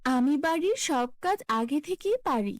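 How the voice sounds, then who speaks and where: An innocent young fairy-tale girl, played by a woman, speaks in a high, sweetly earnest voice. She is polite and helpful, in children's cartoon style, recorded dry. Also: expressive, measured pace, no room sound.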